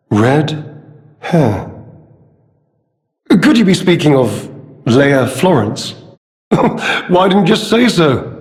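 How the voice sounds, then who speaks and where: Pompous, suave gentleman schemer in reverb. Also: fairly high pitch. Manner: pompous, affected, drawling and theatrical. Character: suave, condescending gentleman schemer with feigned courtesy. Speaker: video game voice actor. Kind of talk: theatrical video game character dialogue. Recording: long hall-like reverb.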